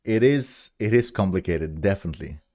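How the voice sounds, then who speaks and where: A man's voice: deep voice, expressive, a little fast, a little mellow. A man in his thirties with a German accent, a live-stream host chatting casually and rambling with lots of restarts. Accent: German-accented English. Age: 30s. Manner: rambling, chuckles at himself, many restarts. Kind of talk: casual chat with viewers.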